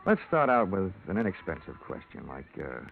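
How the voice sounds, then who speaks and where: An actor playing a wry, hard-boiled insurance investigator, deadpan and wisecracking, in an old-time radio detective drama. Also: male voice, low-pitched, normal speed, creaky, a little hiss or hum, full character voice. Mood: sly, flirtatious. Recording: tinny vintage radio recording.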